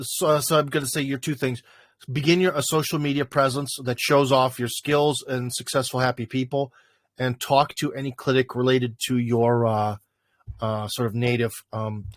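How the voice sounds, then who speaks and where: A man's voice: mid-range voice, no echo, clean studio sound. A man hosting a casual livestream, answering viewer questions in a rambling way with lots of fillers. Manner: rambling, filler-heavy, thinking aloud.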